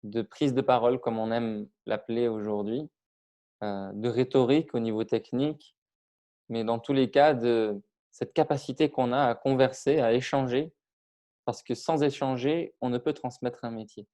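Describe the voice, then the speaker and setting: A man's voice: medium pitch, steady tone, normal speed, mellow. A middle-aged man from Iran, a public speaking trainer, talking casually with many hesitations and restarts. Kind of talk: casual interview on video call.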